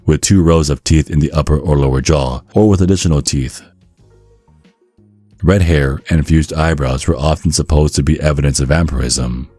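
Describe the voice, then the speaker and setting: A man narrating a scripted ASMR story in a measured, deliberate, deadpan way, close to the microphone. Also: a bass voice, no room sound. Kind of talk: scripted ASMR narration of a dark-comedy guide. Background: faint music.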